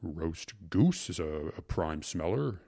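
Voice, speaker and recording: A man voicing a ponderous, food-loving honorary justice in a storybook reading, slow and musing with heavy breath. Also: low-pitched, steady tone, darkish tone, breathy edge, dry recording, clean studio sound. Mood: ponderous relish.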